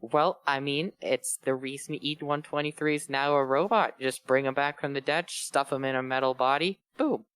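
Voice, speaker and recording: A woman's voice: rumbling low, steady tone, normal speed, hardly any pauses, clear, resonant tone, dry recording. A woman reading fan fiction aloud and riffing on it casually with friends. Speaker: fan fiction reader and commentator.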